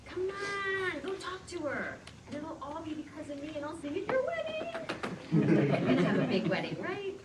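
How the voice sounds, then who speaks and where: Impatient sitcom busybody: insistent, gushing, piercingly high; echoey, noisy, distant live recording. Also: female voice, huge pitch swings, moderate pace, exaggerated voice. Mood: impatient, excited. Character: pushy, impatient woman urging on a shy friend. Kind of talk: sitcom table read before an audience.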